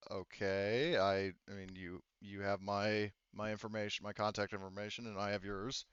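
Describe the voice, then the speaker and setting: A man's voice: fairly low pitch, flat delivery, normal speed, fairly bright tone, clear, resonant tone, no echo, muffled recording, close to an everyday voice. A man voicing an agreeable, slightly flustered male government agent in a tabletop game, speaking hesitantly and informally.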